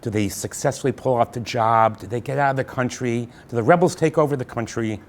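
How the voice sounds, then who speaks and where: A man's voice: mid-range voice, fairly quick. A man hosting a show, signing off with a folksy, jokey, old-fashioned announcer manner. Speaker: host. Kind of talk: scripted show sign-off.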